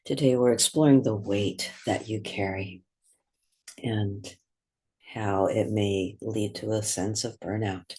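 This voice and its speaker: A man leading a guided meditation in a gentle, unhurried way, with long pauses between phrases. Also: lowish voice, steady tone, slightly rough.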